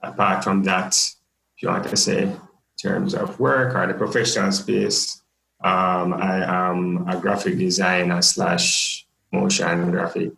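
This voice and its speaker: Guest: young Jamaican man, laid-back and joking, low gravelly voice in an echoey room. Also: flat delivery, measured pace, a bit bright. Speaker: podcast guest. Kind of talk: casual interview chat.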